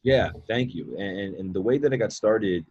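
Man, late twenties, very low voice, husky, casual rambling talk, repeats himself. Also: lively intonation, normal speed, a little mellow, lo-fi sound. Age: 20s. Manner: rambling, repeats words, many fillers. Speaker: guest, mindset coach. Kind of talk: casual podcast conversation.

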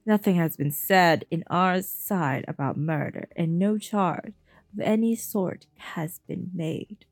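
A woman voicing a smooth, evasive older male official who talks slowly and overly politely. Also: on the low side, no room sound, clean studio sound. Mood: placating.

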